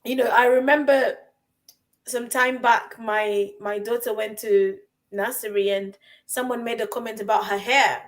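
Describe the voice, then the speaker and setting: Woman host, high voice, keeps repeating stuff for emphasis, casual podcast chat. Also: a bit bright. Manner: emphatic, repeats phrases for stress. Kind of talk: casual chat about confidence.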